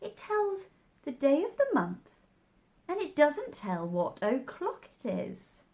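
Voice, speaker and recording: A prim little storybook girl, voiced by a woman reader, proper and quick to object. She speaks slowly and emphatically, with pitch that swings about freely. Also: huge pitch swings, a little mellow, full character voice. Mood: curious.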